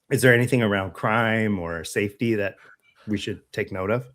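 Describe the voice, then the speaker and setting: An American man co-hosting a podcast, discussing local news casually in a measured, halting way. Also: fairly low pitch, fairly bright tone. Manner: halting, measured, frequent throat clearing. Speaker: podcast co-host.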